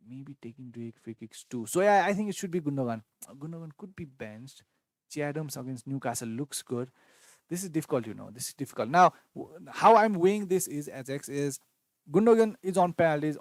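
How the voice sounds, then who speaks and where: A man's voice: medium pitch, darkish tone, dry recording. A man, a Newar speaker, hosting a live fantasy football Q&A and reading chat messages with many fillers as he thinks aloud. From Nepal. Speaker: fantasy football livestream host.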